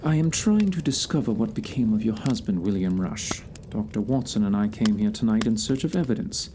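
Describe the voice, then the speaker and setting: Guy doing a composed, commanding detective, formal and precise, very lively intonation, noisy audio drama recording. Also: lowish voice, a little fast, close to an everyday voice. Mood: composed, pointed. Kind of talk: audio theatre acting.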